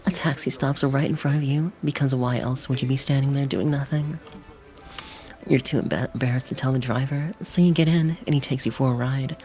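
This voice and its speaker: A woman with a low voice narrates a game for a livestream. She reads the script aloud, with faint music behind her. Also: steady tone, brisk, mellow.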